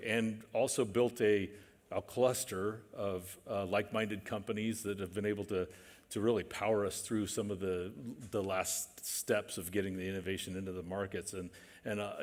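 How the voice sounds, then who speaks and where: Deep-voiced measured male panelist. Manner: measured, hesitant, many fillers. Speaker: panelist, manufacturing executive. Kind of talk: panel discussion. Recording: reverberant conference hall.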